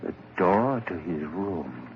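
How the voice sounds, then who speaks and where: Tormented killer, halting monologue, very low pitch, swooping intonation, 1940s radio horror, noisy dull recording. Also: male voice, very animated, exaggerated voice. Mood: hushed dread. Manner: intense, halting, dramatic monologue. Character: tormented murderer creeping toward his sleeping male companion.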